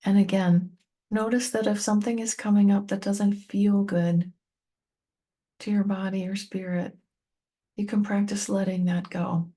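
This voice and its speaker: A woman leading a guided meditation, speaking gently and unhurriedly to the listener in short, spaced-out phrases. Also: medium pitch, level tone, a little slow, husky. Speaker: meditation teacher and tapping coach.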